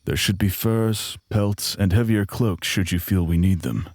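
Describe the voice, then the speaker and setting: Male actor as genial fantasy traveling companion, low husky voice, formal wording, worldly, audio drama. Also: a little mellow, dry recording, clean studio sound. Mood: composed. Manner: formal, measured phrasing.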